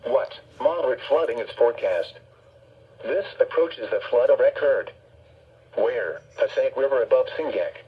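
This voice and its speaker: A synthetic male announcer reading a scripted emergency weather bulletin in a flat, formal way through a weather radio speaker. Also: mid-range voice, unhurried, breathy edge. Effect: played through weather radio speaker. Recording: dull radio speaker, faint background noise.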